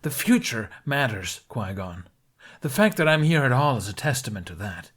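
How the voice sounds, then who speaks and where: A man's voice: mid-range voice, sing-song, moderate pace, fairly bright tone, husky, voice pushed up. A man voicing a furious, grieving middle-aged knight who speaks in a heated, accusing, raised voice in an audiobook reading.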